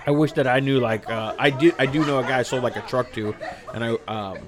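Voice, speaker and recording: A man's voice: medium pitch, fast talker. A man hosting a casual podcast chat, laughing and swearing freely at a family gathering. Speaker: podcast host. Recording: noisy room with crowd chatter.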